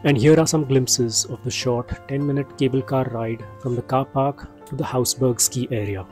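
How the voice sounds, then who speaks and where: A man's voice: medium pitch, steady tone, moderate pace, a little mellow, faint music behind. Man narrating a ski travel guide. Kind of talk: scripted voice-over narration.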